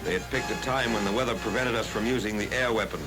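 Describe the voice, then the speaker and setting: A man with an American accent reading scripted 1940s wartime newsreel narration in a grave, measured, oratorical way, on a vintage film soundtrack. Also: on the low side, over music.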